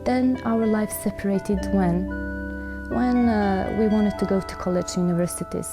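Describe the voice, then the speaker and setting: A woman with a Serbian accent tells her personal story in halting, earnest non-native English. Her voice sits at a medium pitch, with loud instrumental music playing behind it. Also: steady tone. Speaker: interview guest telling her conversion story. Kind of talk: personal testimony.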